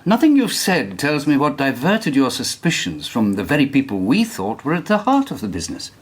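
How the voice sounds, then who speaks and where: Casting: a man voicing a proud Victorian police inspector, formal, expressive pitch. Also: fairly quick, lightly acted. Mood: firm. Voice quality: formal, self-assured.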